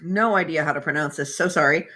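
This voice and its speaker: A woman's voice: deep voice, fast, pushed and bright. A woman chatting casually on a fan podcast, swearing freely and joking with emphasis. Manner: profane, jokey, emphatic. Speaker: podcast panelist.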